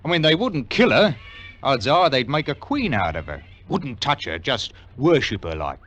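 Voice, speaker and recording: An actor playing a blunt, darkly amused outpost storekeeper in a 1950s radio drama. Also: male voice, mid-range voice, sing-song, moderate pace, a bit bright. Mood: darkly warning. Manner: blunt, swooping, colloquial. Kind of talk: 1950s radio character acting.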